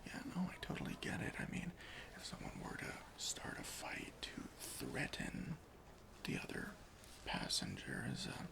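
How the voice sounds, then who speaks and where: A man performs an ASMR roleplay in a breathy, crackly whisper. He plays a lenient, deadpan airport security officer, speaking very slowly and deliberately, with pauses and widely swinging intonation. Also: a bass voice. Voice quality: breathy whisper with some fry. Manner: slow, hushed, deliberate, with pauses.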